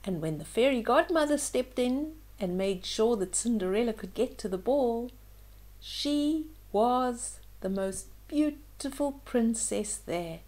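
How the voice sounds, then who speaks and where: An older woman reading a fairytale aloud to children in an expressive way, with simple explaining asides to young listeners. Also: on the high side, slow pace, rough recording. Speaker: children's storyteller, host.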